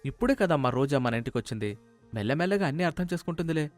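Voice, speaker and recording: A man's voice: on the high side, lively intonation, racing, dry recording, faint music behind, lightly acted. A man voicing an easygoing young husband who explains and placates in a story cartoon. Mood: patient, explaining. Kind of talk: Telugu moral story cartoon dialogue.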